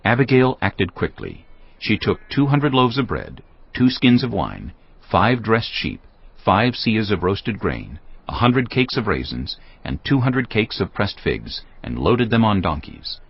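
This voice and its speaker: A man with a very low, husky voice narrates scripture. His storytelling is measured and solemn, with animated intonation. Music plays behind him. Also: dull audio.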